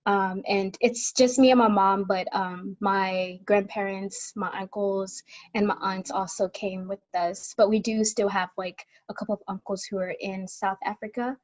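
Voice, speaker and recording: A woman's voice: medium pitch, flat delivery, normal speed. A woman in her twenties answering interview questions casually as a student guest, a little hesitant in her phrasing. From born in Tanzania, in the United States since childhood. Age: 20s. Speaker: guest, college student advocate. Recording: slightly dull video call audio.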